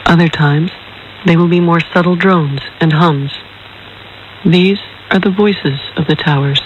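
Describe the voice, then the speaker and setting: Man, scripted narration, slightly high voice, measured and explanatory, hissy shortwave reception. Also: steady tone, highs cut off. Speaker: narrator introducing a documentary.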